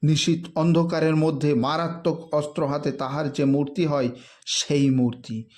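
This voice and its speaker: A man reads a literary story in a theatrical, measured, emphatic narration. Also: a little high, flat delivery, darkish tone. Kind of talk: dramatic literary audiobook-style narration.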